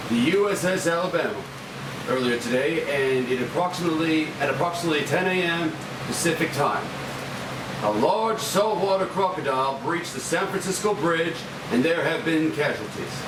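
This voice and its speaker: Man acting solemn middle-aged president, formal address, slightly high voice, echoey room, rumbling background. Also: lively intonation, moderate pace, natural voice. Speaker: actor at a screenplay table read. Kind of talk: table read, formal speech. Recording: echoey room, distant mic, rumbling hiss.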